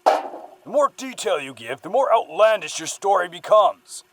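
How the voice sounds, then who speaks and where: An actor plays a stern police interviewer in an audio drama. The pitch moves widely around a middling register, and the sound is close and dry. The manner is measured, official and probing. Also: very animated, no room sound. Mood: scornful, accusing. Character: stern police interviewer, patient then scornful, taking a statement. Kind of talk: dramatic audio fiction acting.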